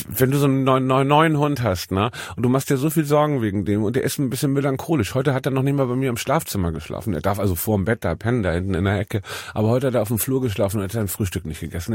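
A man co-hosting a casual comedy podcast, his voice husky from a cold. He rambles through stories with a wry, dry humor. The sound is close and dry. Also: medium pitch, dry recording. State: has a cold. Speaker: podcast co-host.

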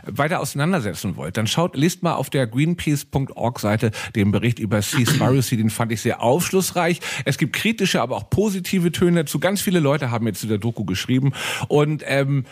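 Husky man telling stories. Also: medium pitch, a little fast. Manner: animated, storytelling, rambling. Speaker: podcast co-host. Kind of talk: casual chat.

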